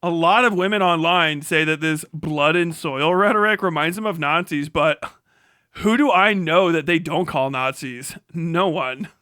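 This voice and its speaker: A man's voice: a little high, moderate pace, pushed and bright, smooth, no room sound, clean studio sound. A man livestreaming sarcastic, profane off-the-cuff commentary in a mocking, exclamatory way. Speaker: livestream host.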